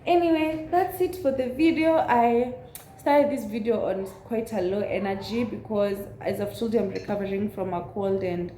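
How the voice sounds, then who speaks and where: Young woman vlogger, raspy from a cold, earnest and unhurried; mellow tone in a roomy space. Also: medium pitch, a little hiss or hum. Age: 20s. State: recovering from a cold. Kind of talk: casual chat to camera.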